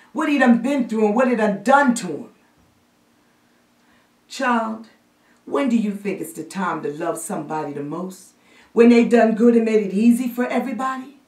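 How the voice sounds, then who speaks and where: A woman's voice: a little high, darkish tone, pitched above the performer's own voice, lightly acted. A woman playing a stern, loving matriarch reproaching a grown child in emphatic vernacular in a stage drama. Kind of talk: classic stage drama monologue. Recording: roomy echo, distant microphone.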